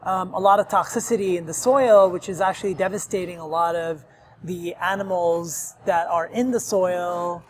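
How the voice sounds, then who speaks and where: A man's voice: high-pitched, steady tone, normal speed, slight background noise. A man who grew up in Nigeria in a Lebanese family, a restaurant owner giving earnest, rambling interview answers with many ums, recorded in a restaurant. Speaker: restaurant owner, podcast guest.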